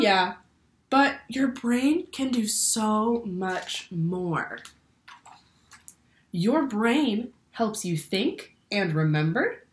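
A young woman reading a children's picture book aloud like a teacher, expressively, asking the listeners questions. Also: mid-range voice. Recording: roomy indoor echo.

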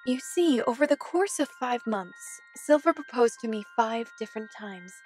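Poised, high-voiced regal cat bride, played by a woman. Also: animated, normal speed, darkish tone, soft background music, natural voice. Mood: composed. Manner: formal, composed. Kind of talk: fan comic dub.

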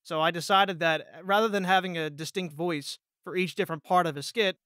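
A man's voice: high voice, fairly even intonation, brisk, pushed and bright, no echo, studio quality. A young man talking casually and ramblingly as a guest on a podcast about voice acting. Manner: rambling, quotes own thoughts. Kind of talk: casual chat, anecdotes.

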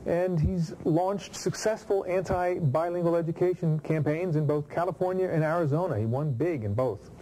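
A man's voice: fairly high pitch, normal speed, hardly any pauses, mellow, slight background noise, low-quality recording. A middle-aged man, a TV news reporter, debriefing the anchor in the studio, speaking off the cuff with frequent fillers. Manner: unscripted, many uhs and ums. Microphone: lapel mic.

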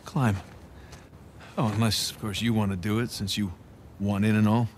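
A man's voice: on the low side, level tone, moderate pace, a little mellow, slight background noise, lightly acted. An actor playing an unflappable, wisecracking American adventurer in his thirties in a video game, with a casual, sarcastic manner. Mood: impatient, sarcastic. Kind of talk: video game cutscene acting.

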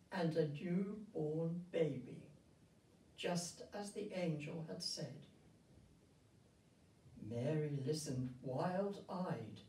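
An older woman reads a children's story aloud with measured, deliberate phrasing and pauses. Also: low voice, mellow. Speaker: story reader. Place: indoor room, seated before a festive backdrop. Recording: roomy, distant microphone.

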